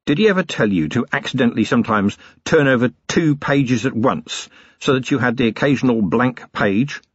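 A man voicing a weary, sardonic older police commander with a dry, gruff, commanding manner in an audiobook story. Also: mid-range voice, expressive, normal speed, dry recording, dull audio. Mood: dry, stern. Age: middle-aged.

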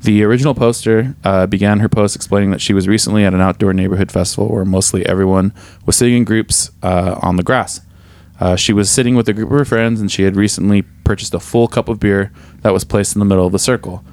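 A man's voice: fairly low pitch, steady tone, quick pace, a little mellow, no room sound. A man hosting a casual podcast, swearing often and reading a story aloud with many fillers. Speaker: podcast host.

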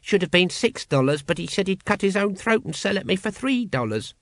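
A man's voice: high-pitched, lively intonation, brisk, fairly bright tone, no echo, clean studio sound, pitched above the performer's own voice. A man voicing an aggrieved, penny-pinching cult brother who mutters and grumbles, in an audiobook. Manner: muttering, colloquial. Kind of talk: audiobook character acting.

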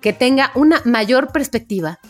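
A woman with a Mexican Spanish accent co-hosting a casual podcast chat, telling stories in an animated way and acting out quotes. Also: high voice, moderate pace, faint music behind. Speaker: podcast co-host. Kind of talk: casual chat among friends.

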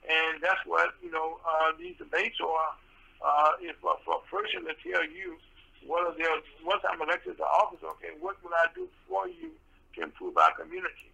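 A man hosting a radio talk show with a soft voice, rambling and full of fillers and restarts. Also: a little high, huge pitch swings, normal speed, vocal fry, rough recording.

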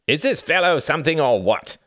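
A man's voice: fairly low pitch, wildly swooping pitch, moderate pace, fairly bright tone, dry recording, clean studio sound, pitched above the performer's own voice. A man voicing a haughty, spiteful, well-educated young upper-class brother in an audiobook, theatrical, sneering and condescending. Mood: mocking. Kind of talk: audiobook character acting.

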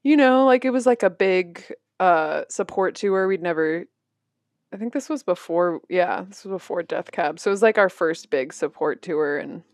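30代の女性が、ポッドキャストでよく笑いながら気楽に雑談している。. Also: female voice, fairly low pitch, moderate pace, no echo, clean studio sound. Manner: laughs often, relaxed and chatty. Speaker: podcast co-host, musician. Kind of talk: casual chat.

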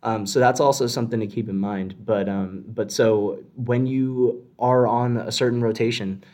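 A man's voice: mid-range voice, fairly even intonation, normal speed, mellow. A young man in his twenties, a medical student, chatting casually and rambling a little as he gives advice in a discussion. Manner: rambling, lots of fillers and restarts.

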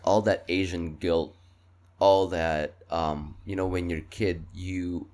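Earnest low-voiced Filipino man. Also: steady tone, slow, a little mellow. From Philippines. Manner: earnest, reflective, repeats words. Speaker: podcast co-host. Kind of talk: casual chat.

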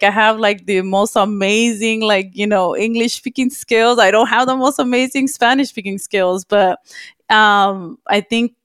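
A woman's voice: medium pitch, clean tone, no room sound, studio quality. A woman from Los Angeles, co-hosting a podcast and talking reflectively with lots of fillers and frequent laughs. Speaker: podcast co-host. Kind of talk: casual podcast conversation.

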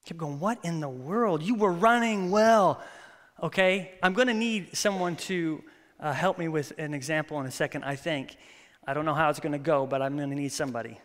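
A man in his thirties, a pastor, preaching a sermon with prayer and lively stories that he acts out. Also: a little high, moderate pace. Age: 30s. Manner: animated, storytelling with acted-out quotes. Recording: long reverb of a church hall.

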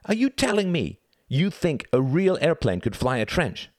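A man voicing an indignant, bossy boy in a storybook, shouting petulantly like a sore loser. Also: a little high, sing-song, moderate pace, no room sound, clean studio sound, voice pushed up. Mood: angry protest.

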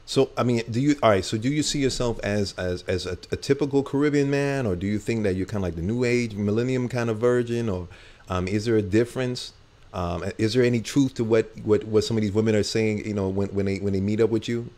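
Low-voiced rambling talk show host. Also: male voice, a little fast, darkish tone. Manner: rambling with many fillers. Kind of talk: casual talk show chat.

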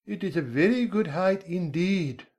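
Man putting on a slow, haughty caterpillar creature. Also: a little high, animated, darkish tone, voice pushed up. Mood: angry. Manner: slow, drawn-out, decided. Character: curt, haughty, contradictory male caterpillar creature. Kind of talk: storybook character voice.

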